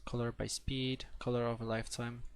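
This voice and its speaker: Gravelly-voiced Polish man teaching code. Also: mid-range voice, flat delivery, brisk. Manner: hesitant, many fillers and restarts. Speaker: coding instructor. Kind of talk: live-streamed coding tutorial.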